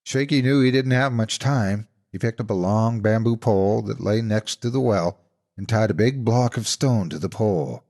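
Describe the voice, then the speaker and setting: A man narrating scripted stories as a horror narrator, deliberate and dramatic in his delivery. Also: lowish voice, darkish tone. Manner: deliberate, suspenseful storytelling.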